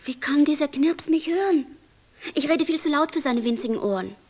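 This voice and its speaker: Angel for poetic radio drama: very high voice, inquisitive, persistent, naive. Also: female voice, animated, moderate pace, a little mellow. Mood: puzzled. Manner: questioning, insistent. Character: curious, persistent angel guest, naively questioning. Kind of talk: poetic radio play dialogue.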